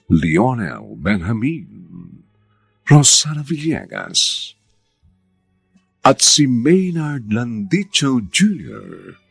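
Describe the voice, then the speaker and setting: A man with a Filipino accent hosting a radio drama, reading formal scripted announcements in a grand, measured, dramatic manner. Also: on the low side, gravelly, fairly bright tone, slightly rough, no room sound. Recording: music under the voice. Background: music.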